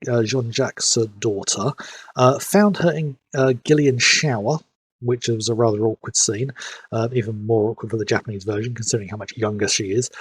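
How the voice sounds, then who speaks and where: Sniffly man, breathy voice. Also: medium pitch. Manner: rambling, fills pauses with "um". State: sniffly from hay fever. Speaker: game streamer. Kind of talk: casual live-stream commentary.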